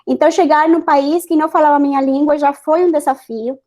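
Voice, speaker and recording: A woman's voice: sits high, fairly even intonation, a little fast, darkish tone. A woman with a Spanish accent, Spanish being her first language, speaking earnestly as a scientist guest on an online panel, with many hesitations and restarts. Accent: Spanish-accented Portuguese. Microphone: video call.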